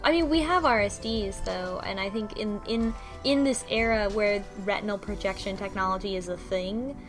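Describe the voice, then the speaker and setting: A woman chatting casually about a game in a halting way, as if working out her ideas while she talks. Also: mid-range voice. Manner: halting, thinking aloud. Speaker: podcast panelist. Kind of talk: casual chat about a visual novel. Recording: music behind the voice. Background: music.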